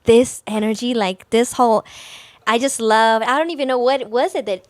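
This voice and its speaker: Clear-voiced woman from Israel, casual interview guest. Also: a little high, moderate pace, a little mellow, dry recording. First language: Hebrew. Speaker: podcast guest, dancer. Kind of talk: casual interview chat, personal storytelling.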